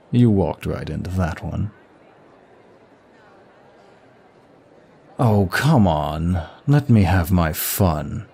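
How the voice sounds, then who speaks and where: A man playing a suave, teasing demon prince of lust in an ASMR roleplay, bored and sadistic, with a languid, husky delivery. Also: sits low, expressive, drawn-out words, dark tone, full character voice. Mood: bored, playful.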